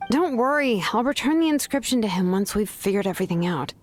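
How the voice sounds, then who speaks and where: A woman's voice: mid-range voice, animated, moderate pace, darkish tone, faint music behind, natural voice. A woman playing a confident, laid-back young craftswoman in a video game, casual and matter-of-fact as she reasons things out. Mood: probing, confident.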